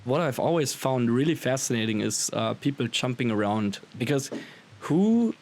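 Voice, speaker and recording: A man's voice: mid-range voice, lively intonation, moderate pace, a little mellow. A man with an Austrian accent, a native German speaker, talking casually and enthusiastically as a musician being interviewed. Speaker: musician guest on a podcast. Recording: clearly audible background hiss.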